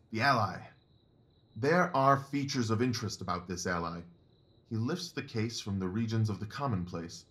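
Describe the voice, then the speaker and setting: An actor playing a keen, self-assured Victorian detective in an audio drama, speaking in a precise, deliberate way. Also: male voice, lowish voice, fairly bright tone.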